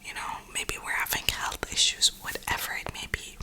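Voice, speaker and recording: A woman host giving a slangy, reflective ASMR ramble in a breathy whisper. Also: sharp tone. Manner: slangy, reflective, unhurried pauses. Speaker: ASMR gaming video host.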